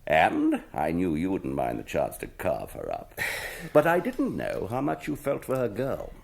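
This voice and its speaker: An actor playing a mischievous, chummy TV host with a husky, gravelly voice in a British radio drama. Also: male voice, sits low, wildly swooping pitch, a little slow, exaggerated voice. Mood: gleeful, confiding. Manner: chummy, upper-class old-boy slang. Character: mischievous, jovial English TV host, gleefully scheming.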